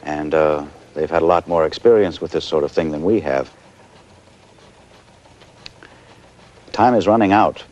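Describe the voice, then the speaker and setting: An actor playing a measured, hesitant male news anchor covering a crisis live in a 1980s TV drama. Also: rumbling low, a little mellow, dull audio. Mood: sober. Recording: hissy 1980s TV broadcast audio.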